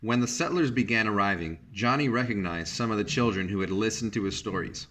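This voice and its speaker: Low-voiced man reading a storybook. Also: a little fast, fairly bright tone. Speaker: teacher reading a picture book aloud. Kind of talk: storybook read-aloud with brief asides.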